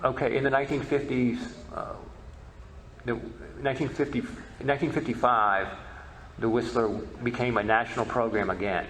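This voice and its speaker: A man answering audience questions as a presenter at a talk, halting and repeating words as he starts. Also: medium pitch, steady tone, a bit bright. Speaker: panel presenter, old-time radio researcher. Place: echoey convention meeting room. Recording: roomy echo with faint noise.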